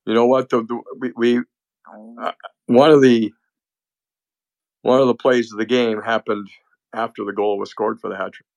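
Gravelly hockey analyst man. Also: mid-range voice, normal speed, pauses often, no echo, dull audio. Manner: animated, restarts often. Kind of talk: casual sports chat.

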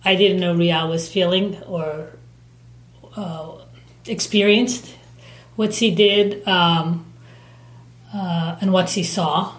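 A woman in her forties answering interview questions thoughtfully, pausing often with ums and uhs. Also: fairly low pitch, steady tone. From United States. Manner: thoughtful, hesitant, with frequent ums and pauses. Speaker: interviewee, mother of a teen. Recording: echoey room.

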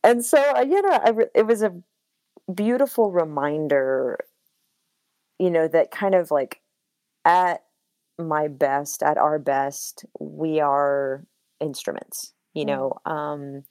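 Low-voiced reflective American woman. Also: slow, a little mellow, no echo. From United States. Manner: reflective, many fillers, laughs often. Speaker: singer-songwriter guest. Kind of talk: casual conversational interview.

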